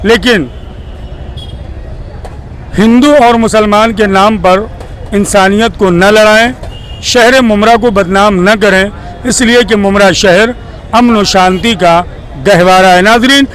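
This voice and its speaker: Formal reporter, high-pitched man. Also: steady tone, fairly bright tone, a little hiss or hum, poor audio. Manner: formal, courteous, measured. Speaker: news channel reporter. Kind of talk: street interview and sign-off.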